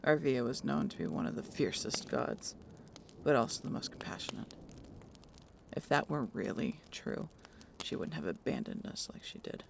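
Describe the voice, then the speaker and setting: A woman playing a blunt, distrustful harpy in an audio roleplay, with a low gravelly voice and a curt, sarcastic manner. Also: very animated, normal speed, a little hiss or hum, full character voice. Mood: bitter, cynical.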